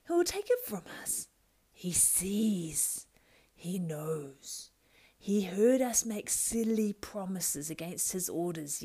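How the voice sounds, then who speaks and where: A woman reading a storybook aloud and voicing a wretched, greedy male creature who argues with himself in hissing whispers and high whines. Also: a little mellow, husky, exaggerated voice. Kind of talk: audiobook character voice.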